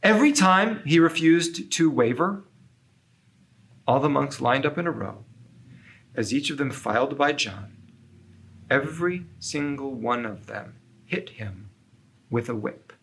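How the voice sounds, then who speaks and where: A man narrating a scripted children's story in an expressive, measured storytelling manner with deliberate pauses. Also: mid-range voice, slight background noise. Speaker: storyteller reading aloud.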